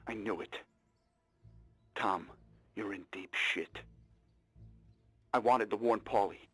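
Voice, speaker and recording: A man playing a grave 1930s mobster in a video game, speaking urgently in a husky voice. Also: lowish voice, slow, a bit bright, dry recording, full character voice. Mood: grim, urgent. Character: grave male 1930s mobster warning his friend. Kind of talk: video game cutscene acting.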